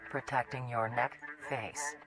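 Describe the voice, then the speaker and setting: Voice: medium pitch, fairly even intonation, measured pace, crowd noise. An announcer reading scripted public safety instructions in a formal, impersonal manner. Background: music.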